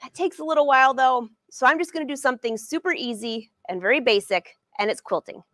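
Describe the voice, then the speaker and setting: Brief: woman in her 40s, sewing teacher on a livestream, friendly and chatty, slightly high voice, dry close sound. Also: fast, fairly bright tone, no room sound. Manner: chatty and upbeat, addresses viewers by name. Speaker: sewing educator and brand ambassador. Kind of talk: live-streamed sewing lesson answering viewer questions. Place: sewing studio indoors.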